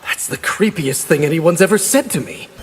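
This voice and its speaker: A man plays a youthful, earnest ninja in a video game. His voice is high for a man and defiant. It carries long reverb and faint noise. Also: lively intonation, moderate pace, close to an everyday voice.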